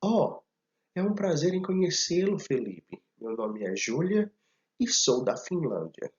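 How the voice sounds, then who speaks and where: A man's voice: a little high, sing-song, measured pace, darkish tone, roomy sound, lo-fi sound, pitched above the performer's own voice. A male teacher reading a textbook dialogue line as a polite young woman answering a greeting.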